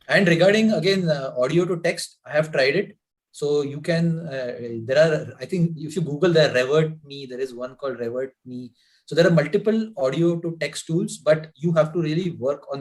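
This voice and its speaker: A man on a video call sharing practical advice, talking through it with quick self-corrections. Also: mid-range voice. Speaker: club member. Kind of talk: online meeting discussion.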